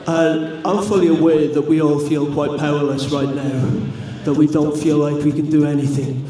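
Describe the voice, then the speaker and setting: A man with a South Welsh accent from South Wales, cutting a fiery wrestling promo to a live crowd. His speech builds to furious shouting. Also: little pitch movement, moderate pace. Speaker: pro wrestler. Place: large arena with a live crowd. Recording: booming echo from a big hall, crowd noise.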